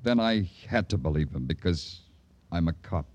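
An actor playing a terse, grim New York police detective in an old radio crime drama, with a clipped, world-weary delivery. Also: male voice, sits low, animated, moderate pace. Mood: brooding, world-weary. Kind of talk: 1940s-50s radio crime drama acting. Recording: old radio broadcast, music underneath.

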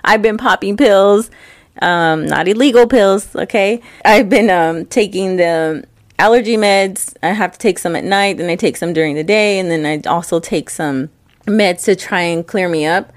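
A woman's voice: medium pitch, moderate pace, no echo. A young woman hosting her own podcast in loose, rambling chat, stuffed up from allergies, her breathing close on the mic. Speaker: podcast host. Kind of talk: casual solo podcast chat. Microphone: close mic, breathing audible.

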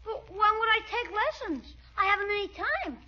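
A woman's voice: very high-pitched, lively intonation, moderate pace. A woman voicing a cheeky, wisecracking young schoolboy with a brash, whiny streak in an old radio comedy. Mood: whiny protest. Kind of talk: 1940s radio comedy acting. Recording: muffled old radio recording, faint noise.

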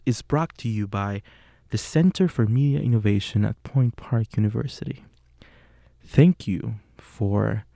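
A man's voice: fairly low pitch, creaky, warm tone, no room sound, clean studio sound. A young man from Puerto Rico, a native Spanish speaker with a Puerto Rican Spanish accent, hosting a solo podcast in an earnest way, with frequent fillers and self-corrections. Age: young adult. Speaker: podcast host.

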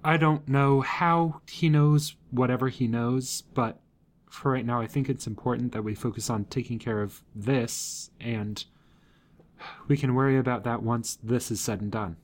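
A woman playing an earnest female ranger who speaks in a deliberate, practical way. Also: extremely low pitch, fairly even intonation.

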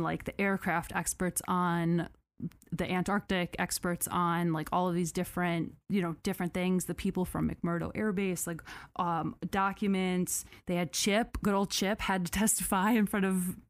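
A young American woman co-hosting a casual podcast, chatty and jokey, full of "like" fillers and quick asides. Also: lowish voice, clean tone, dry recording. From United States. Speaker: podcast co-host.

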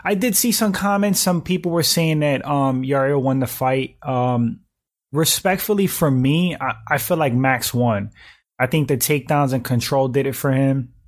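A slightly hoarse man in his thirties, hosting a livestream and chatting casually while reading out viewer comments, often clearing his throat. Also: medium pitch, moderate pace. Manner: relaxed slangy talk, frequent throat clearing. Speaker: livestream host reading chat. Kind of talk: casual chat.

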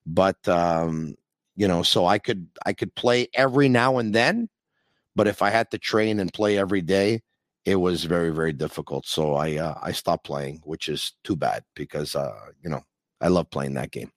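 A man hosting a sports call-in podcast, chatting in a rambling, animated way. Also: low-pitched, moderate pace, dry recording, studio quality. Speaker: podcast host. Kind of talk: casual call-in show chat.

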